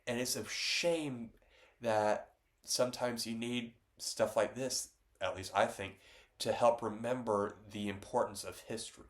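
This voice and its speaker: A man, congested from a stuffy nose, talking loosely about his own artwork, with many pauses and restarts. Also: medium pitch, fairly even intonation. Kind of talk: casual reflective talk to camera.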